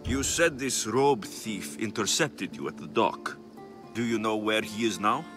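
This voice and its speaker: An actor playing a sceptical, muscular mercenary hero in a video game, speaking in a dry, sardonic manner. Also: male voice, on the low side, unhurried, music in the background, close to an everyday voice. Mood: businesslike. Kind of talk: video game cutscene acting.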